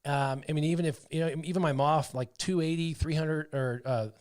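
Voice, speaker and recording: A man casually talking through his game setup on a livestream, chatty and excitable, with lots of "um" and "like". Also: a little high, fairly quick. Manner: chatty, excitable, full of fillers like "um" and "like". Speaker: streamer playing a mobile game.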